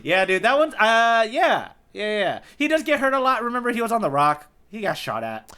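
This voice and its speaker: A man's voice: sits high, very animated, moderate pace, pushed and bright. A man co-hosting a podcast as quizmaster, handing out clues and bantering playfully in casual chat.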